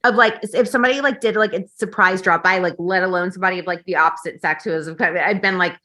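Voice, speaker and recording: Woman podcast guest, bright tone, rambling chatter packed with "like". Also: fairly low pitch, quick pace. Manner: rambling, heavy use of "like". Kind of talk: casual chat.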